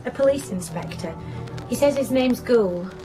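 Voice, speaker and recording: An actress playing a polite, deferential household maid in a radio play. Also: female voice, fairly high pitch, expressive, moderate pace, over music, lightly acted, rough recording.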